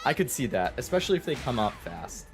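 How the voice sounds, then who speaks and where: A man's voice: mid-range voice, sing-song, fast. A man giving casual, conversational commentary as he streams a video game, musing as he goes. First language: English. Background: game music and dialogue.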